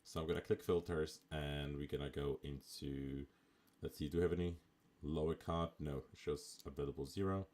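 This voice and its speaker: A man with a raspy voice, chatting casually as a live streamer while he plays a management game. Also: rumbling low, normal speed, hardly any pauses. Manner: relaxed, chatty, with fillers. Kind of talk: casual live gameplay commentary.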